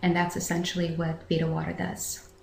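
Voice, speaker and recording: A woman's voice: lowish voice, monotone, brisk, vocal fry. Middle-aged woman in an echoey room. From Afghanistan. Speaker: founder of a charitable bottled water company, interview guest. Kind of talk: interview answers. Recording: echoey room, faint background noise.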